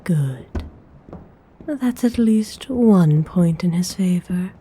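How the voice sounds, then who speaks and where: A woman playing a courteous, commanding vampire noblewoman in an ASMR roleplay, speaking in a soft, mostly whispered voice with genteel, formal phrasing. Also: fairly low pitch, expressive, a little hiss or hum. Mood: coolly reassuring. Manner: genteel, unhurried, formal wording.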